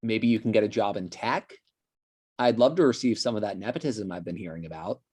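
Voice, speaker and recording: Woman doing nosy immature freeloader, really low raspy voice, brash, all over the place, comedy read. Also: fairly quick, warm tone. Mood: needling. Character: freeloading adult housemate, nosy, cheeky, immature. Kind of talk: table read of a comedy script.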